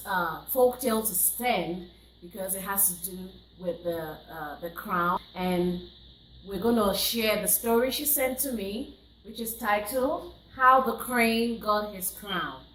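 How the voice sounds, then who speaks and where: A woman telling folktales to viewers in a deliberate way, repeating phrases for emphasis. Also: mid-range voice, fairly bright tone, roomy sound, a little hiss or hum, rough recording. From Nigeria. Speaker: storyteller and singer hosting a folktale channel. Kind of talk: oral folktale storytelling to viewers.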